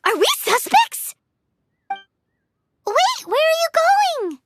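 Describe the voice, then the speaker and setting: A woman voicing a small, childlike fairy companion in a game, squeaky, excitable and blunt. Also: takes it slowly, background noise, full character voice. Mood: worried. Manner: speaks of herself by name, indignant exclamations. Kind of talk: animated game voice acting.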